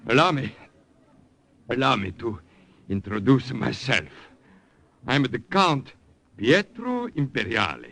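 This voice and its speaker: An actor playing a fawning, excitable Italian count who flatters effusively and calls out shrilly, in 1950s radio acting style. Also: male voice, mid-range voice, slow pace, pauses often, heavily acted. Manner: flattering and effusive, shouts shrilly when calling out.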